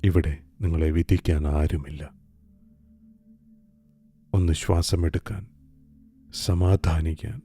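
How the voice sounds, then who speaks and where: A man guiding a meditation in a calm, soft, hushed way. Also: rumbling low. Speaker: meditation guide. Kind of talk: slow guided meditation. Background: soft music.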